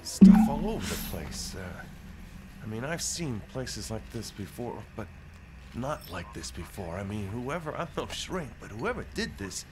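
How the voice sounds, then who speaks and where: Gritty cop male actor, audio drama game. Deep, terse, colloquial field reports, noisy recording. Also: big pitch swings, a little slow. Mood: shaken. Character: gritty male cop radioing in from a wrecked house.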